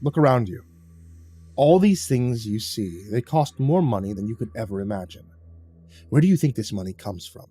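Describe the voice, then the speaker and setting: Tough older man, Eastern European accent, stern and deliberate, acted by a male storyteller. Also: mid-range voice, big pitch swings, a little mellow, slightly rough. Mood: grave, scornful. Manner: formal, deliberate phrasing.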